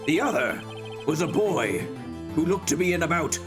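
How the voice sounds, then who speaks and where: A man's voice: fairly high pitch, big pitch swings, normal speed. A man voicing a stern but whimsical male courtroom judge in an amateur video game read. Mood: pondering. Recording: game music under the voice.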